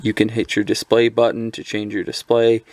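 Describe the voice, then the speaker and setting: A man's voice: lowish voice, flat delivery, moderate pace, darkish tone. A man giving a casual hands-on review of a handheld radio, talking loosely with lots of ums and restarts. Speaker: product reviewer.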